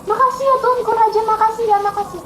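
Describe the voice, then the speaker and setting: Woman acting a high, pleading poor servant man. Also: level tone, normal speed, echoey room, slight background noise. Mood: overjoyed, grateful. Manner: wailing, repetitive pleading. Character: frightened poor male servant tearfully begging for mercy.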